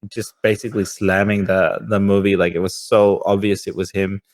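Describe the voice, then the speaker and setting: A man's voice: low-pitched, flat delivery, normal speed. A young man chatting casually as a podcast host, often repeating words and using fillers as he goes.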